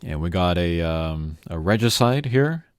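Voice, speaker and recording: Low-voiced, slow-talking man commentating a game. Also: expressive, clear, resonant tone, dry recording, studio quality. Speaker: gaming channel host. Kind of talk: casual playthrough commentary. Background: game music.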